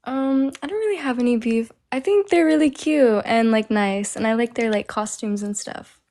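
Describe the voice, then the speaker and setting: Young woman, kinda high voice, hesitant, lots of ums. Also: moderate pace, vocal fry. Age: 20s. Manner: hesitant, soft-spoken, searching for words. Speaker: interviewee. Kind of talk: casual chat.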